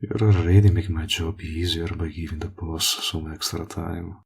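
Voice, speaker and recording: Very deep, gravelly villain played by a man. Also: steady tone, a little mellow, a little hiss or hum. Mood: cool menace. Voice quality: gravelly, husky, breathy. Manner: slow, teasing, drops into whispers. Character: smug, possessive male villain, flirtatious and menacing. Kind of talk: roleplay audio acting, intimate monologue.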